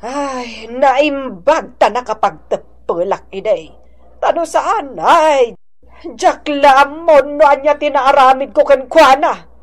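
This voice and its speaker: A woman with a Filipino accent playing a nosy, sharp-tongued, resentful neighbour in a radio drama. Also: high voice, slow. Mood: indignant.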